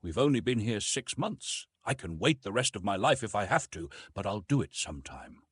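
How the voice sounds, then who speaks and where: A man voicing an eager, determined young woman archaeologist in a lifted, hopeful tone, a touch husky. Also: lowish voice, very animated, normal speed, no echo.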